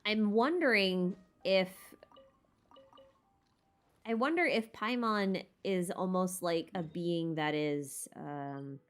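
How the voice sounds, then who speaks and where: A woman's voice: lowish voice, slow pace. A woman streamer chatting casually and thinking aloud in a tentative, rambling way as she answers questions. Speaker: streamer hosting a lore Q&A. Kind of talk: casual rambling livestream chat about game lore.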